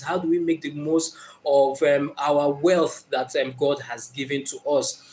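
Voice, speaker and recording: A man's voice: fairly high pitch, slight background noise, low-quality recording. A man with a Nigerian accent hosting a motivational live stream. He speaks earnestly and encouragingly, greets commenters, and uses many fillers.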